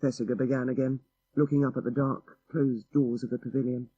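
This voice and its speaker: A man reading a literary story as an audiobook narrator, in a measured and expressive manner. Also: medium pitch, breathy edge, dry recording, dull audio.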